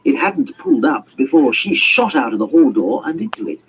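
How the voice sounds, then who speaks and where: Guy reading an audiobook, creaky voice, big swoopy delivery, dull hissy audio. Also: mid-range voice, huge pitch swings, a little fast. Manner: theatrical, voices dialogue. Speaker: audiobook narrator. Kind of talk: dramatic storytelling, scripted narration. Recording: muffled, noisy amateur audiobook recording.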